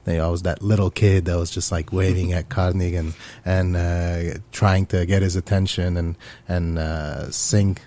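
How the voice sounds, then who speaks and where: Middle-aged man from Syria, very low voice, earnest interview guest who talks with many fillers. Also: level tone, unhurried, hardly any pauses, dark tone. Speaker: musician guest. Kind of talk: casual interview chat.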